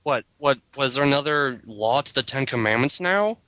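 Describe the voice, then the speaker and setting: A man's voice: mid-range voice, animated, moderate pace, sharp tone. A man reading a text aloud as lead reader of an amateur dramatic reading, breaking into sarcastic, incredulous casual commentary.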